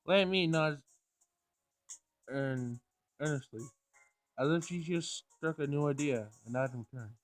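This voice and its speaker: Man, slightly high voice, visual novel read-aloud, occasional stumbles and rereads, dry sound, music in the background. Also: a little slow, long pauses, dry recording. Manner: stumbles over words and rereads lines. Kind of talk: Let's Play read-aloud of game text.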